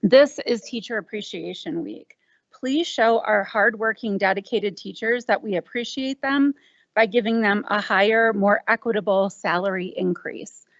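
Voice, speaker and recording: A woman with a naturally loud voice, a parent, reading a direct prepared comment at a podium microphone. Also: medium pitch, moderate pace, dry recording. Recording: dull lo-fi meeting audio.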